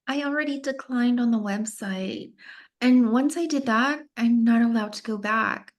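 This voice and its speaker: A woman's voice: a little high, moderate pace, close to an everyday voice. A woman playing a young female pageant contestant in a screenplay table read. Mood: casual, evasive.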